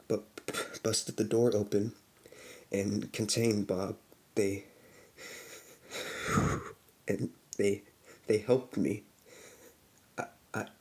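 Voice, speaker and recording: Guy playing a shaky young survivor, low gravelly voice, stammers a lot, slow. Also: a little mellow. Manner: stammering, hesitant, halting. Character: nervous young male survivor of a monster attack. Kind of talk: horror parody monologue.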